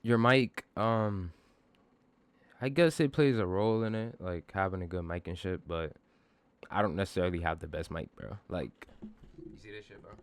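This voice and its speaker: A man with a bassy voice giving a casual, slangy music production tutorial, informal and self-deprecating, with some swearing. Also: normal speed, clear, resonant tone, no room sound.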